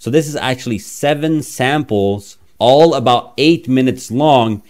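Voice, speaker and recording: A man in his thirties with a Norwegian accent talks through a software demo in a casual, self-mocking way. His voice sits fairly low. He jokes easily as he goes. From Norway. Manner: chatty, playful, self-mocking. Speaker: tech reviewer. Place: small room, indoors.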